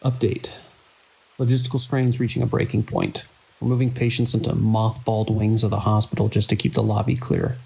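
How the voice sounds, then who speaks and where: A man playing a weary, dedicated hospital director in an audio drama, speaking in a measured, grave way. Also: fairly low pitch, completely flat delivery, gravelly, dark tone, slightly rough, rough recording. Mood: strained, resolute. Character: weary, dedicated male hospital director under mounting strain. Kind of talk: audio drama acting, recorded log entries and phone call.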